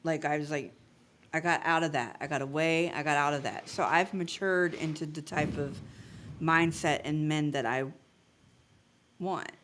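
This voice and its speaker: A woman's voice: low voice, moderate pace, fairly bright tone, slight background noise. An American woman chatting casually on a podcast panel, blunt, emphatic and slangy. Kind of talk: casual chat.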